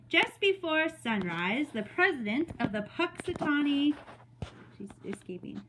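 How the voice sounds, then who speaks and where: A woman teacher reading a story aloud to young children in an expressive way, with casual spoken asides. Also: on the high side, a little hiss or hum, rough recording. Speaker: teacher reading story time to second graders.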